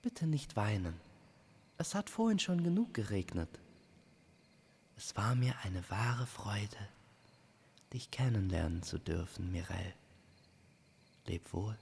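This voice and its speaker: A man voicing a gentle, compassionate female nature spirit in a fantasy audio play, speaking softly and solemnly. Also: lowish voice, sing-song, slow pace, creaky, long pauses, slight background noise, full character voice. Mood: tender farewell. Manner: soft, solemn, reassuring.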